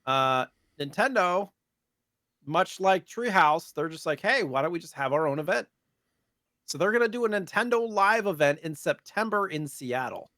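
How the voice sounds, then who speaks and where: A man's voice: a little high, expressive, moderate pace, bright, forceful tone, no echo. American man chatting casually, dry close sound. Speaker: co-host of a retro gaming podcast. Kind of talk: casual chat about video games.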